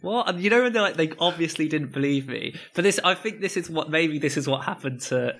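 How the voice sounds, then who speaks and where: A man's voice: expressive, brisk, fairly bright tone. A young man chatting casually as a podcast co-host, telling rambling anecdotes and laughing often. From England. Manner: rambling storyteller, laughs often, mimics people in higher voices. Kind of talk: casual chat.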